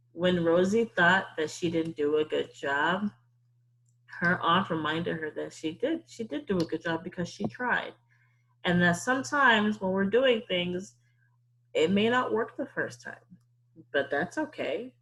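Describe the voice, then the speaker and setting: A woman's voice: on the low side, a bit bright, rough recording. A woman hosting storytime, chatting casually and encouragingly to children and repeating words for emphasis. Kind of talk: casual explanatory talk to children.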